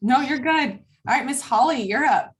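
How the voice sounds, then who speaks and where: A woman's voice: high-pitched, normal speed. A woman casually hosting a group video call, passing the turn from person to person. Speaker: host of a cast table read.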